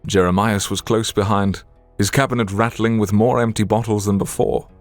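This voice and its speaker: Low-voiced male story narrator. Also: fairly even intonation, moderate pace, faint music behind. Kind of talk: scripted dramatic story narration.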